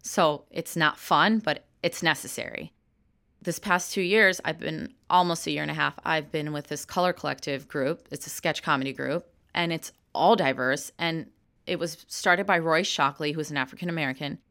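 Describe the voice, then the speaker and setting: Low-voiced woman in her thirties. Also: moderate pace, pushed and bright. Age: 30s. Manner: frank and open, laughs easily. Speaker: actor and sketch comedian. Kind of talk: candid interview answers.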